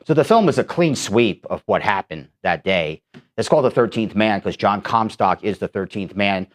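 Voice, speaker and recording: A man's voice: on the low side, animated, normal speed. A man from Manhattan, a documentary filmmaker, talking as a guest in a studio and telling stories in a chatty way. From Manhattan, New York. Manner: chatty, storytelling, laughs easily. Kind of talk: casual studio interview. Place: radio-style studio.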